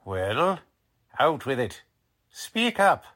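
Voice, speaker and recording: An actor playing a cocky, condescending police lieutenant in a 1940s-style radio drama, brusque and slangy. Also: male voice, medium pitch, sing-song, very slow, fairly bright tone, heavily acted. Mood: brusque, probing. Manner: brusque, slangy, ungrammatical tough-cop talk.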